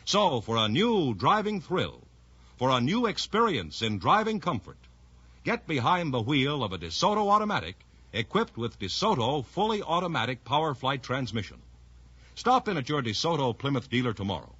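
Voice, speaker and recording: A man's voice: medium pitch, big pitch swings, moderate pace, a bit bright, breathy edge, dry recording. A man announcing a scripted 1950s radio commercial with a polished salesman's delivery, on an old recording. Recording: dull vintage radio recording.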